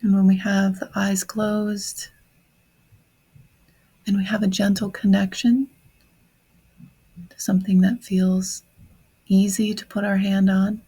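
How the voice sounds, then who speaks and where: Slow, husky woman guiding a meditation. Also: mid-range voice, flat delivery, low-quality recording. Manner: gentle, unhurried phrasing with long pauses. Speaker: guided meditation host. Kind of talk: guided meditation on grief, slow and reflective.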